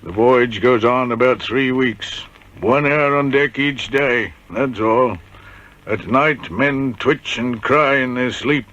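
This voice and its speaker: An actor playing a haunted old ex-convict with a cracked voice, telling grim prison memories with bitter irony in a radio drama. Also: male voice, medium pitch, slow pace, a bit bright. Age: older. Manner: grim, bitterly ironic storytelling. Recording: dull, lo-fi vintage radio recording.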